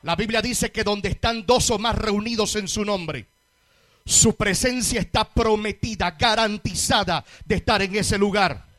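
A Puerto Rican man delivers a sermon in a very high-pitched voice. He speaks emphatically, builds on repeated phrases and addresses his listeners directly. The sound is dry and close, with little room around the voice. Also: measured pace, a bit bright, dry recording. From Puerto Rico. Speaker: guest preacher. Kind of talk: sermon to a large congregation.

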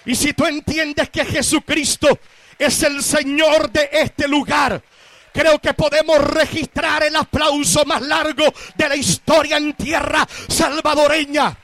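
A Puerto Rican man delivers a sermon in a very high-pitched voice. He speaks emphatically, builds on repeated phrases and addresses his listeners directly. The sound is dry and close, with little room around the voice. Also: fairly even intonation, measured pace, a bit bright, no echo. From Puerto Rico. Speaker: guest preacher. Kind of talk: sermon to a large congregation.

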